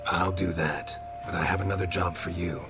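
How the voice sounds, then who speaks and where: Stoic monster hunter, very low voice, deadpan, laconic, music behind. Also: male voice, moderate pace. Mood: calm, resolute. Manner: laconic, deadpan, clipped sentences. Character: stoic, dry-witted male monster hunter for hire. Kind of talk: video game character acting.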